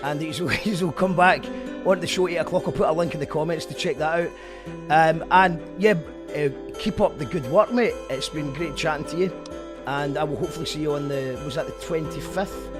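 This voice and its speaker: A man's voice: fairly high pitch, a little fast. Chatty Scottish man hosting over music. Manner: chatty, loose, often restarts sentences. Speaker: host of an online live show. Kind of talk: casual live-stream chat. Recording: background music playing under the voice.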